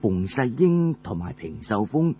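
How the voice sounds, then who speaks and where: A man with a Cantonese accent voicing an aged, calm, authoritative clan matriarch in a quiet, measured way for an oral story. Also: mid-range voice, dull audio, a little hiss or hum, voice pushed down. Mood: calm, considerate.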